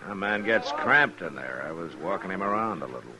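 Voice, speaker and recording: A man playing a calm, shrewd middle-aged American marshal in an old radio western, speaking in a laconic, unhurried way. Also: low voice. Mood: probing, dry. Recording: old radio recording, crowd sounds behind.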